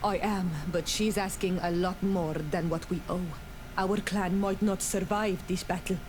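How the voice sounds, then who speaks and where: A woman playing a proud, solemn young island clan warrior leader in a fantasy video game, speaking gravely and deliberately. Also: fairly low pitch, fairly even intonation, background noise, close to an everyday voice. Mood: grave, weary. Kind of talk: scripted video game dialogue acting.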